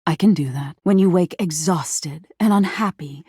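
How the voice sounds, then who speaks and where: A woman playing a living nightmare spirit in a video game, seductive and eerie, with a breathy, intimate delivery and a wounded, menacing edge. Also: moderate pace, warm tone, no room sound, clean studio sound. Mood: hurt, threatening. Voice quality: breathy, husky, low. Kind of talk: video game character acting.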